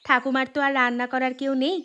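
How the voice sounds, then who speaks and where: A woman's voice: high-pitched, level tone, fast talker, lo-fi sound. A woman voicing a caring, dutiful young village woman in a moral-story cartoon. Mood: gentle, caring. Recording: loud music under the voice.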